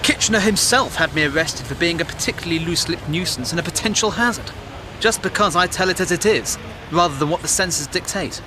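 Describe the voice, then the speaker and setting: An actor playing a cynical, outspoken 1910s war reporter with an English accent, wry and indignant, in an audio drama. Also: male voice, fairly high pitch, fast, natural voice. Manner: wry, indignant, plain-spoken. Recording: noisy moving-car ambience behind.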